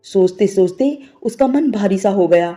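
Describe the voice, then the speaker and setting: A woman's voice: medium pitch, expressive, measured pace, dark tone. A woman narrating a scripted, sentimental moral story as a storyteller. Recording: music bed behind the voice. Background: background music.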